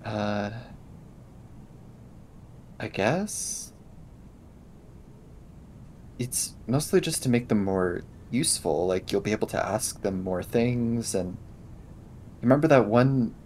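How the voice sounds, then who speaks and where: A man improvising in-character conversation in a tabletop roleplaying game, explaining things in a hesitant, halting way. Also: fairly low pitch, a little mellow, slight background noise.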